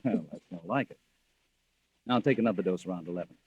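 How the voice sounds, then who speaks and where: A low-voiced man with a husky grain and a dry, close sound, playing a calculating husband in a vintage radio thriller. He coaxes, then turns brusque and domineering. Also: fast, darkish tone, no echo, lo-fi sound. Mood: furtive, tense. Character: scheming, impatient husband secretly poisoning his wife. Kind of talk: old-time radio thriller acting.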